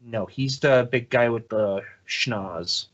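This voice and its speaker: A man's voice: mid-range voice, slow, fairly bright tone. A man hosting a podcast, chatting casually in a playful, bantering way. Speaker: podcast host. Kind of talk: casual chat. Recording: dull lo-fi audio.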